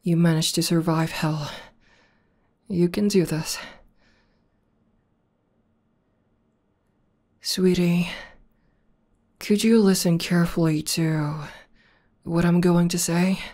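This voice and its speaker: Casting: female actor for tomboy war demoness, devoted girlfriend in ASMR audio roleplay; mellow, unhurried, halting tenderness. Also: on the low side, steady tone. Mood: nervous. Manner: soft, halting, tender delivery. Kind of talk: intimate ASMR roleplay acting.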